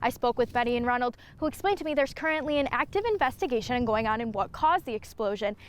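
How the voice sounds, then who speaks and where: A young woman reads scripted student TV news. Her voice sits somewhat high, and she delivers her reports as a field reporter would. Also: fast talker, clean tone. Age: young adult.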